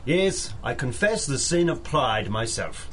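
An actor playing a proud, earnest, encouraging doctor, speaking formally in a 1930s-style radio drama. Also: male voice, mid-range voice, very animated, unhurried, fairly bright tone, a little hiss or hum. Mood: proud, effusive. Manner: grandiloquent, formal.

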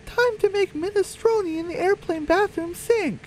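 Man squeaking out a very high-pitched brash cartoon chicken. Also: normal speed, darkish tone, a little hiss or hum. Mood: gleefully defiant. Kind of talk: comic audio drama.

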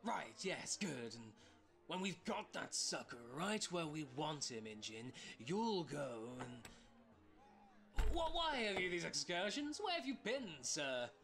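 A man acts a con artist in an audio comedy, all flattery and scheming. His voice is bright and forceful, and he takes his time with a theatrical, obsequious delivery. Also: on the high side, takes it slowly, faint music behind, full character voice. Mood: bossy. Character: scheming, flattering swindler with a bossy streak. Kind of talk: amateur audio-drama comic acting.

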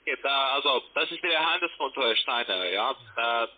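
A man speaking as a non-native, making a sales pitch over a phone line. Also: expressive, normal speed. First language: not German. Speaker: telephone salesman. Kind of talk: sales call. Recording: tinny narrowband phone line.

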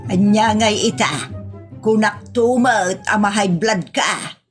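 A woman playing a brisk, nagging village woman in a comedy drama, chiding and chatty with an Ilocano accent. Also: mid-range voice, faint music behind, natural voice.

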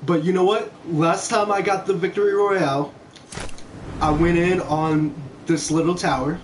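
A young man streams a video game with casual, self-deprecating commentary and plenty of swearing. His voice sits a little higher than most men's and he talks slowly, in a laid-back way. There is faint noise behind him in the home recording. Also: steady tone. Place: indoor room at home.

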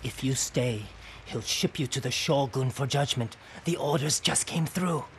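An actor playing a sly, eager sake merchant in a video game, talking quick and wheedling. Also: male voice, medium pitch, slight background noise, natural voice. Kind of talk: video game cutscene acting.

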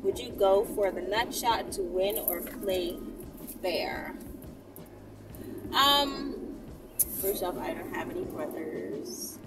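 A woman's voice: mid-range voice, slow, long pauses. A woman in her thirties chatting casually and candidly to camera, answering viewer questions while driving. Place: inside a moving car, daytime. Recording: distant mic, loud car road noise. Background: vehicle and road noise.

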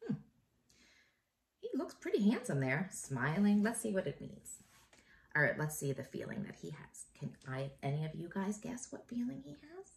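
A middle-aged woman, an elementary school teacher, reading a picture book aloud to young children in an expressive, encouraging way and pausing to ask them questions. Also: medium pitch, vocal fry, a little hiss or hum.

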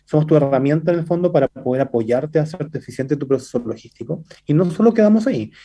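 A young man with a Chilean accent talks quickly and fluently over a video call, stringing his points together with fillers. His voice is dark and mellow. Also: animated, husky. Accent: Chilean Spanish. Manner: fast, fluent pitch, many fillers like "entonces" and "eh". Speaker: startup CEO guest. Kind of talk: business interview by video call. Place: indoor room.

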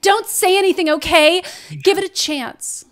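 A woman's voice: very high-pitched, fairly even intonation, normal speed, a loud crowd. A woman reading parts and narration in a casual table read, on a group video call with other people chattering. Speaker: podcast co-host and script reader.

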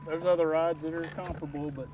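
A man's voice: on the high side, fast, muffled recording. Folksy middle-aged man fishing from a boat. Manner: folksy, excited bursts while landing fish. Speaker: fishing vlogger. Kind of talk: casual fishing commentary. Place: small boat on open lake, outdoors. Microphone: wide-angle camera mounted at the boat's stern. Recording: outdoor boat recording with wind and water noise.

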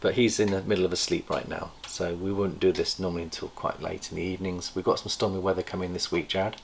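Deep gravelly middle-aged man. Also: little pitch movement, a little hiss or hum. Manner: chatty, enthusiastic, pauses to recall details. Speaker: snake breeder host. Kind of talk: casual show-and-tell vlog. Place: indoor reptile room lined with tubs. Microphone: camera across a table.

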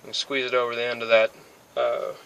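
A man in his twenties talks through a backyard build with an even, flat delivery at a middle pitch. He loses his thread often, filling gaps with "uh" and correcting himself. Faint crickets and insects hum behind the close camera mic. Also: fairly quick, lo-fi sound. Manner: rambling, many fillers and restarts, flat delivery. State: a bit sick and spacey. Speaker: DIY hobbyist. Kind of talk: casual how-to explanation. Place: outdoors by a car, then under a metal-roofed shed.

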